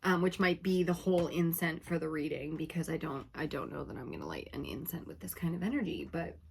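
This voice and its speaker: Woman tarot reader, lowish voice, slightly raspy, chatty and laughing, casual talk to viewers. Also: lively intonation, fairly quick, darkish tone. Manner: chatty, laughing, uses filler like "okay?". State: slightly raspy from long talking.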